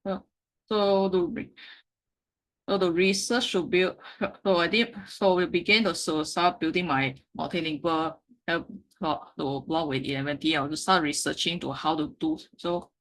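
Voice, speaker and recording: Halting woman presenting slides. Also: on the low side, fairly even intonation, fairly bright tone, poor audio. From Malaysia. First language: Mandarin Chinese. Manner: halting, frequent self-corrections. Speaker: meetup speaker.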